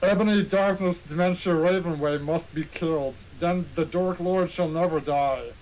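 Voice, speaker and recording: A man's voice: a little high, moderate pace, darkish tone, natural voice, rough recording. A man voicing a cruel, haughty wizard schoolmaster villain with pompous, theatrical proclaiming in a mock-dramatic reading. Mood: cruel, commanding.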